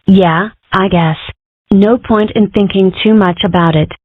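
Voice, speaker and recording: A woman's voice: mid-range voice, measured pace, no echo, lightly acted. A woman voicing an opinionated, philosophical ten-year-old girl in a cartoon comic dub, earnest and wordy. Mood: musing. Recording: muffled band-limited audio.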